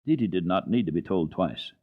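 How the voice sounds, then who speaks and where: A man giving an expressive, dramatic radio reading of a children's classic, with deliberate phrasing. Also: deep voice. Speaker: radio storyteller. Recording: dull lo-fi old radio recording.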